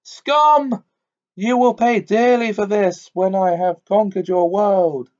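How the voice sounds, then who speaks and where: A man voicing a haughty, furious witch queen who hurls commanding threats in a high, ringing voice, on a dull lo-fi recording. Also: steady tone, slow pace, a little mellow. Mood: scornful.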